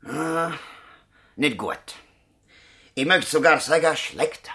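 A man's voice: on the high side, slow pace, sharp tone, exaggerated voice. A man with a Swiss accent playing an affable, slightly bewildered dog breeder as a patient in a radio drama, hesitant and homely. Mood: hesitant, complaining. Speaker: actor. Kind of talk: naturalistic radio drama acting.

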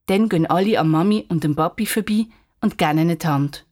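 A woman's voice: lowish voice, fast, a little mellow. A woman with a Swiss German accent narrating a scripted children's story.

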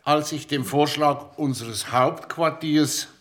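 An actor playing a retiring insurance boss in his sixties, giving a formal, halting farewell speech in a radio play. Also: on the high side, a bit bright. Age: 60s.

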